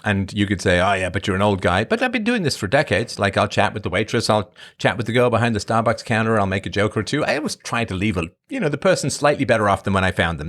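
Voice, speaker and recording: Middle-aged man, lowish voice, rambling casual monologue, conversational host. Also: a bit bright. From born in Ireland, grew up in England. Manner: rambling, conversational, emphatic swings in pitch. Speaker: podcast host answering live chat.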